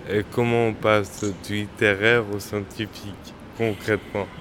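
Male interviewer, low voice, hesitant questions, faint outdoor noise. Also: flat delivery, unhurried, a bit bright. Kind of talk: asking questions.

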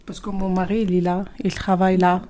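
A young woman from Algeria whose first language is not French, answering interview questions slowly in simple, halting phrases with many hesitations. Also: lowish voice, flat delivery, warm tone.

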